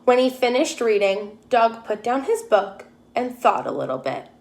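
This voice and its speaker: A woman's voice: fairly high pitch, very animated, moderate pace, a little mellow. A woman teacher reading a children's storybook aloud expressively, putting questions to her young listeners.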